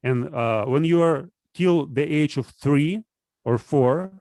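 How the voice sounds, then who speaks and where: Halting man explaining ideas, dry sound. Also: fairly high pitch, warm tone, dry recording. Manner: halting, full of restarts and fillers while explaining. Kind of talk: casual conversation.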